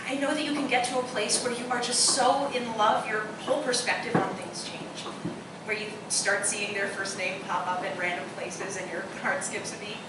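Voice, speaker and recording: A woman's voice: medium pitch, fairly even intonation, moderate pace, lightly acted. A woman acting in a live radio drama, playing a blunt, skeptical woman who still hopes for love. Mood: wistful, earnest. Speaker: actor. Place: roomy live performance space, far from mic. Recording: very echoey, distant live recording, clear noise.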